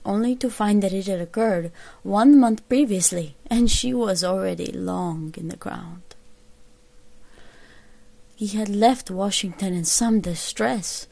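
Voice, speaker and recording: Earnest 1800s soldier woman reading her account, soft mellow tone, formal, audio drama narration. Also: mid-range voice, gravelly, no room sound. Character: earnest female army private writing a 19th-century account.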